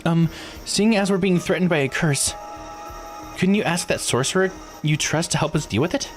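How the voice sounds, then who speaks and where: A man's voice: on the high side, moderate pace, faint music behind, voice pushed up, natural voice. A man voicing an earnest, worried young islander in a visual novel, polite and questioning. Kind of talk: visual novel read-aloud voice acting.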